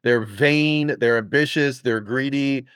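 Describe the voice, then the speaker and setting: A man's voice: medium pitch, a little slow, fairly bright tone, smooth, no room sound. Casual male podcast host, dry close sound. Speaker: podcast host interviewing a guest. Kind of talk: casual upbeat podcast interview.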